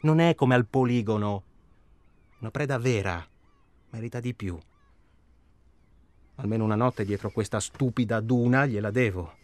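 A male actor as a self-absorbed man on a hunt, with a middling pitch. He rambles in a colloquial, naturalistic radio-drama way, hesitating and stalling, quick to get irritable. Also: no room sound, lightly acted. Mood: irritated. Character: self-absorbed, stalling male tourist hunting human prey.

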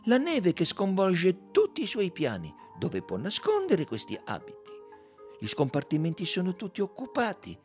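A man voicing a shrewd, courteous detective from a novel, self-assured and theatrical, with emphatic, deliberate delivery. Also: high-pitched, huge pitch swings, soft background music, voice pushed up. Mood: explaining.

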